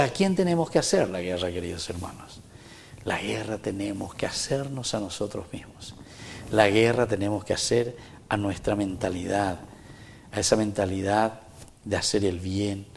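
A man's voice: lowish voice, creaky, slight background noise. A middle-aged man with a Paraguayan Spanish accent, preaching a homily at Mass in earnest, repeating phrases and asking rhetorical questions. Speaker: priest. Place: small chapel with wooden walls, indoors.

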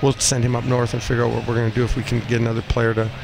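Middle-aged coach over clear background noise. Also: male voice, mid-range voice, rapid-fire. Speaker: ice hockey coach. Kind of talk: postgame interview, unscripted talk. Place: small cinder-block room indoors at a rink. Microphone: headset boom mic. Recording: noisy arena room, steady background din.

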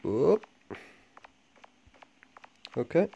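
A man giving low-key, casual gameplay commentary, thinking aloud in short fragments as he guides viewers. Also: sing-song, extremely slow, warm tone, dull audio. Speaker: gamer making a walkthrough guide. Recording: clearly noisy home recording with clicks.

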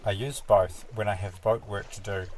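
A man narrating a sailing vlog in a calm, matter-of-fact voice-over, explaining a build step by step. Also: lowish voice, normal speed, slight background noise. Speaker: sailing vlogger.

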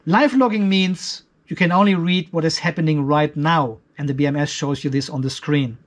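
High-pitched man explaining tech. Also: fairly even intonation, moderate pace. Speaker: video host, off-grid tech reviewer. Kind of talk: explanatory tech review over a spreadsheet.

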